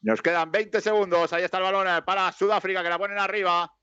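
A man's voice: sits high, quick pace, smooth, dry recording, lo-fi sound. A man with a Spanish accent giving casual live football commentary, rambling along and lifting his voice on attacks. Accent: Spanish from Spain. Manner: rambling, lifts to excited calls on attacks.

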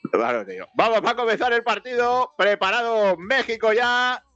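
A man's voice: extremely high pitch, very animated, normal speed, bright, forceful tone, no echo, dull audio. A man from Spain with a Spanish accent, chatting casually and colloquially as a football commentator, often repeating himself. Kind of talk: casual match chat and live commentary.

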